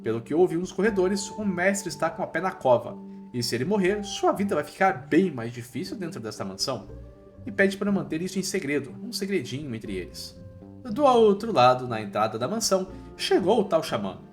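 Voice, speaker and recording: A man's voice: on the high side, big pitch swings, fairly quick. A man with a Brazilian accent narrating a scripted story recap in casual, slangy language. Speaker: narrator of manhwa recap videos. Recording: voice-over with music underneath.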